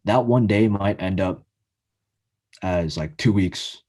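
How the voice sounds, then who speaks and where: A nineteen-year-old man talking as a guest in a casual interview, halting and earnest, with lots of filler words. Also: low-pitched, warm tone. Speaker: podcast guest, college student.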